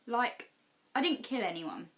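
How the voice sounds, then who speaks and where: A woman's voice: a little high, lively intonation, measured pace, a bit bright, pitched above the performer's own voice. A woman voicing a lonely, eager young girl in a children's storybook, earnest and keen to please. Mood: nervous. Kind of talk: children's storybook character dialogue.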